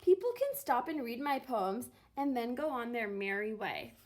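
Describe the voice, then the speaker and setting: A woman reading a children's book aloud expressively, with theatrical, deliberate phrasing and drawn-out words. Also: a little high, big pitch swings, a little hiss or hum. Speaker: reader of a children's picture book, teacher. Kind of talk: expressive storybook read-aloud.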